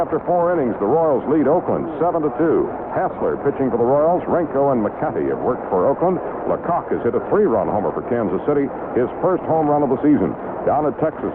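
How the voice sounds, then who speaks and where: An American man working as a baseball radio announcer, reading scores and recapping games. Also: mid-range voice. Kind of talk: sports scoreboard and game recap commentary. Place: ballpark broadcast booth. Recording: tinny, noisy 1970s AM radio broadcast.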